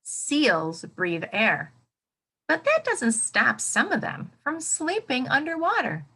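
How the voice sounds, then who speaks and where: A woman teacher reading a nonfiction book aloud to children, expressively, thinking out loud and putting questions to her listeners. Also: high-pitched, wildly swooping pitch, slow pace, breathy edge. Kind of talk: interactive children's nonfiction read-aloud lesson.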